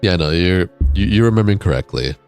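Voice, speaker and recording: A young man with a deep voice, casually streaming a game and chatting with viewers in a laid-back, rambling way. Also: animated, quick pace, a little mellow, clear, resonant tone. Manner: laid-back, rambling, reads and answers chat. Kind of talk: casual live-stream chat while gaming. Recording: game music playing behind.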